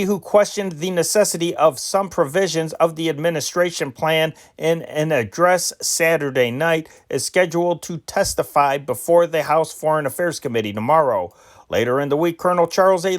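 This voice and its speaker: A man reading old newspaper dispatches aloud in an unpolished, measured way, with occasional slips. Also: fairly high pitch, animated. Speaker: news reader.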